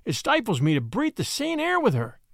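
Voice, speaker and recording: A man reading aloud voices a distressed young lady, rising high into shrill, pleading horror. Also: big pitch swings, fairly quick, creaky, no room sound, clean studio sound. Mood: horrified.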